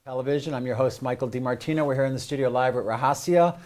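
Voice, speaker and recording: A man's voice: mid-range voice, fast talker, darkish tone. An American man hosting a casual studio interview, talking in a rambling, hesitant way. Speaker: show host. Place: live studio.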